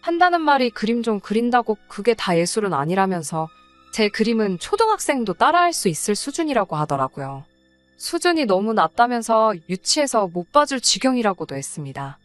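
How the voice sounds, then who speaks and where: Lively woman narrating a personal story. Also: a little high.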